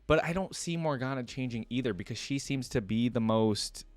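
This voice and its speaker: A man's voice: mid-range voice, normal speed, no room sound. A man hosting a reaction video, talking casually and enthusiastically as a fan, with rambling asides. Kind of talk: casual fan commentary, chatty.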